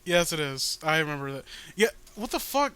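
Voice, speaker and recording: A man's voice: fairly high pitch, very animated, normal speed, bright, forceful tone, clean tone, dry recording. A man giving casual, mocking read-along commentary in a profane, sarcastic, ranting way. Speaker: host.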